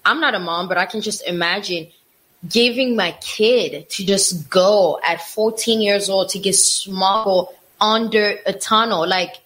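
A woman's voice: mid-range voice, measured pace, fairly bright tone, rough recording. Impassioned woman co-host, repeats for emphasis. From Africa. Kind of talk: casual opinion chat.

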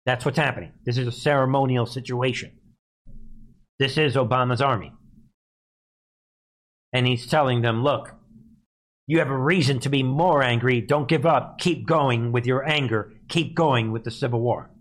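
A man's voice: mid-range voice, moderate pace, long pauses. A man hosting live political commentary, speaking emphatically and scornfully and repeating phrases to drive them home. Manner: scornful, mocking. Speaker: live news commentary host.

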